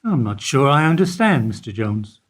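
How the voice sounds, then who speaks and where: A man playing a world-weary small-town bank employee in a radio play, careful and noncommittal, with a husky voice. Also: mid-range voice, very animated, moderate pace. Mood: guarded, evasive.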